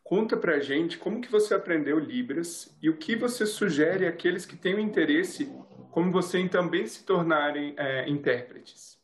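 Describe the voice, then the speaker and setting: A male TV interviewer asking measured questions and often adding tag questions. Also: fairly high pitch, animated, echoey room. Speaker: interviewer, TV host.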